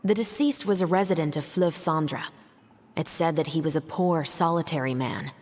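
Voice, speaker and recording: Low-voiced, composed patrol captain. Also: female voice, darkish tone. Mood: measured, analytical. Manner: measured, explanatory, politely firm. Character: composed, methodical young female patrol captain investigator. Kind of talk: video game voice acting. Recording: music playing behind the voice.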